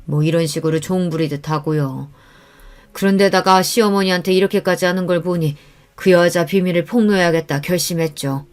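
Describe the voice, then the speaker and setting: A woman's voice: fairly low pitch, a little fast, natural voice. A woman voicing a resentful older male apartment guard, confiding and indignant, in a radio-style story.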